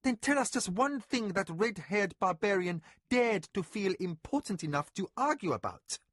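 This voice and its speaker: A man's voice: high voice, brisk, no room sound, clean studio sound, voice pushed up. A man voicing a stern noblewoman who gives curt orders to her servants. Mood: sharp. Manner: curt, commanding. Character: stern noblewoman mistress of an estate.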